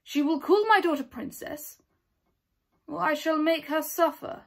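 A young woman acting an imperious, vengeful queen in a dramatic monologue, haughty and commanding with deliberate pauses. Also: high voice. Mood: threatening. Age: teenager or young adult. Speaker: student performer. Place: small plain indoor room.

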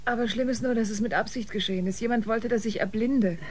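An actress playing a wary, defiant musician aboard a spaceship in a sci-fi radio drama, expressive and pointed. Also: female voice, a little high, quick pace, natural voice. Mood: indignant, suspicious.

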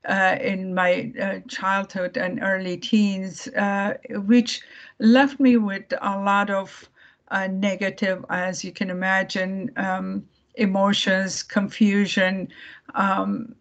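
A woman from Iran giving a presentation over a video call, speaking haltingly with many fillers and restarts. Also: medium pitch, level tone, dull audio. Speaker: guest speaker.

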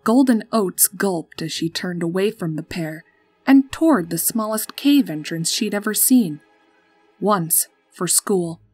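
A woman's voice: mid-range voice, breathy edge. A woman narrating a scripted story as its storyteller. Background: music.

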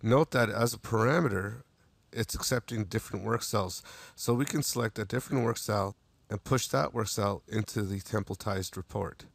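Male tutorial narrator, low and gravelly, plain step-by-step delivery, dry booth sound. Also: normal speed, no echo. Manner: steady step-by-step instruction with small restarts. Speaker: software demo narrator.

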